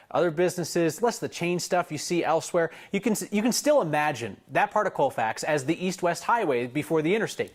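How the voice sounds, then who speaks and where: Wry, chatty male news anchor. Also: on the high side. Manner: wry, conversational, opinionated asides. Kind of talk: evening news presenting.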